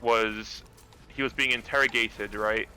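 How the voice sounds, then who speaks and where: A man with a naturally deep voice, a guest actor chatting casually about acting, rambling and restarting his sentences. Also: fairly even intonation, a little slow, sharp tone, slight background noise, low-quality recording.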